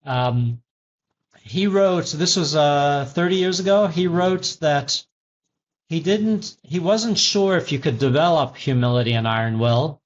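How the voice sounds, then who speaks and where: A man's voice: on the high side, a little slow. A man in his fifties, a former tech CEO now an executive coach, answering interview questions in a thoughtful, teacherly way with frequent restarts. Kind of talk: interview answers, reflective storytelling. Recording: slightly dull lo-fi audio.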